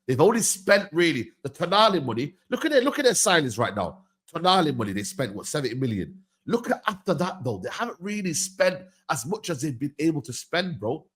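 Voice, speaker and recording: A man's voice: on the high side, husky. A man hosting a casual football debate, slangy and insistent, often repeating himself. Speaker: host of a football talk stream.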